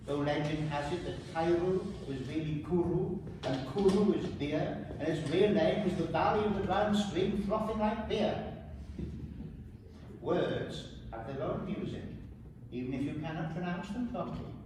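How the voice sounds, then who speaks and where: Older man in his seventies, from Wales, measured poetry reading, slightly high voice, distant mic, echoey hall with background noise. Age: 70s. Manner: measured, deliberate reading with quiet asides. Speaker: poet reading his own work. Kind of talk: poetry reading to a small audience. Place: gallery room.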